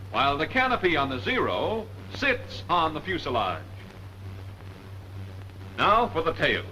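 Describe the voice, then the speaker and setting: A brisk narrator for a 1940s military training film. He is didactic and emphatic, with a bright, somewhat high voice over a faintly noisy vintage soundtrack. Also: full character voice.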